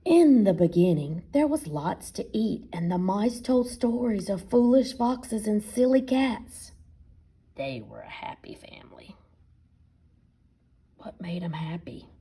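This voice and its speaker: A woman reads a children's picture book aloud like a teacher, stopping to ask and explain. Her pitch swings widely, her pace is unhurried, and her voice is soft-edged and husky. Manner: expressive, pausing to question and explain. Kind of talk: picture-book read-aloud with questions to young listeners.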